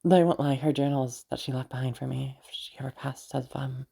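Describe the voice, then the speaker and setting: A woman playing a flustered, affectionate young noblewoman mage in an intimate roleplay audio drama, speaking haltingly with stammers. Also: extremely low pitch, steady tone, creaky, dark tone, breathy edge, exaggerated voice. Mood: fond, chatty. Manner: halting, stammering, giggly, mild swearing.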